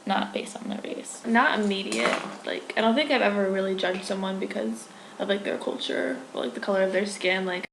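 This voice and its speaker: A woman's voice: medium pitch, steady tone, brisk, fairly bright tone, a little hiss or hum. A young woman answering interview questions in a casual, hesitant way, full of "like" fillers. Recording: echoey room.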